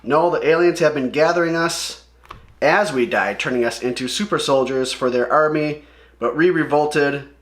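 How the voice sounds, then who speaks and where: A man's voice: on the high side, moderate pace, fairly bright tone, natural voice. A man reading the part of a revived comic-book fighter, plain and explanatory. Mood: earnest.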